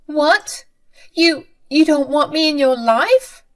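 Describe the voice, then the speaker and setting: A woman voicing a desperate older mother-in-law who begs for forgiveness in a high, shrill voice. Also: expressive, unhurried, a bit bright. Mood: pleading.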